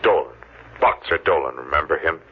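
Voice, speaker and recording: Very deep-voiced menacing avenger, old radio. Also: male voice, breathy edge, phone-quality sound, full character voice. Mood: accusing. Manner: taunting, deliberate, sinister. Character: menacing, vengeful masked man, taunting one-armed jungle survivor. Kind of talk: 1940s radio thriller acting. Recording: noisy, thin old-time radio recording.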